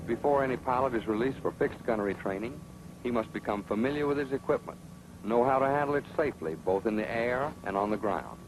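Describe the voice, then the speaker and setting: A man's voice: mid-range voice, expressive, a little fast. A man narrating a military training film with a stern, scolding, instructive manner. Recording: old film soundtrack with hiss.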